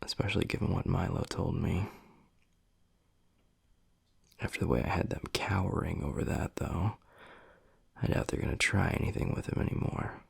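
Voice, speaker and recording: A man playing a gruff young werewolf pack alpha and protective, secretly tender boyfriend, murmuring bluntly in a husky voice in an intimate audio roleplay. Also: brisk, no room sound, full character voice. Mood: stern, irritated. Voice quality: husky, gravelly, breathy low voice.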